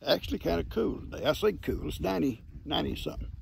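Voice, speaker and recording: A man, hoarse from losing his voice, chatting and teasing his farm animals outdoors into a phone held at arm's length. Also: a little high, expressive, quick pace, slight background noise. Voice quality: gravelly. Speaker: farm vlogger. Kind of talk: casual talk to animals and camera. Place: outdoors under a shade tree on a farm.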